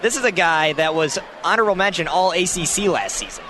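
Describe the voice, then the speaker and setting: A man's voice: high voice, animated, a little fast. An American man giving conversational color analysis during a live football broadcast. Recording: crowded, noisy stadium broadcast. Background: crowd and stadium noise.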